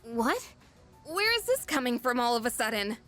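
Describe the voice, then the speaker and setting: Game role: exasperated, protective schoolgirl, high and lively, emphatic, informal delivery. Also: normal speed, soft background music. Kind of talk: video game voice acting.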